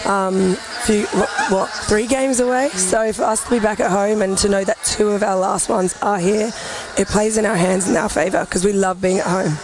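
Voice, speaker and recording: A woman's voice: medium pitch, fairly even intonation, normal speed, noisy background. A woman, a netball head coach who is losing her voice, answering post-match interview questions.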